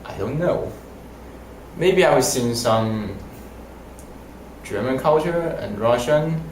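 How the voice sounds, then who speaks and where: A young man whose first language is Mandarin, answering interview questions in halting, simple phrasing. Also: medium pitch, expressive, a little hiss or hum. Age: young adult. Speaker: language student. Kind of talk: casual interview. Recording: roomy echo.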